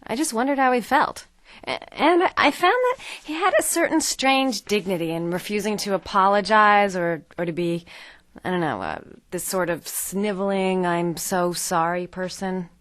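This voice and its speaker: Female author actress, defensive, halting, indifferent air, medium pitch, audio satire. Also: fairly bright tone, no echo, close to an everyday voice. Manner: hesitant, offhand. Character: sullen, defensive female author being interviewed. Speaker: actor. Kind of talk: satirical audio drama acting.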